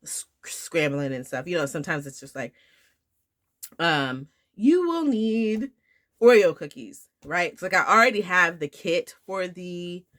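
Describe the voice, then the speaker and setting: A woman in her thirties talks casually to her viewers with a bright, forward tone. She sounds a bit nasal from a recent flu, and she rambles along with fillers and restarts. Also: on the low side, big pitch swings, unhurried. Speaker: homeschool vlogger. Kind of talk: casual talk to camera.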